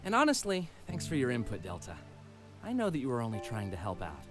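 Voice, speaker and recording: A man's voice: medium pitch, huge pitch swings, quick pace. A man voicing a sociable furry friend in a visual novel, casual and exuberant with dry asides. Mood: sincere, subdued. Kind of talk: visual novel character dialogue. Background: game music.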